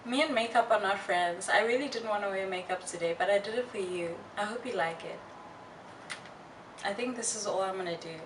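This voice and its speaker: A young woman vlogger chatting casually to her viewers in a candid, halting, reflective way with slangy asides. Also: mid-range voice. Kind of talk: casual personal chat to viewers. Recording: roomy, distant mic, faint noise.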